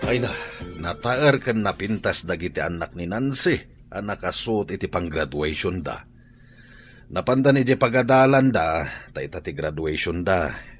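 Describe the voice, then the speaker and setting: A principled father in a family drama. His voice is on the low side. He reasons and scolds as a stern head of the household. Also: lively intonation, moderate pace, soft background music. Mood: matter-of-fact. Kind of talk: Ilocano family drama acting.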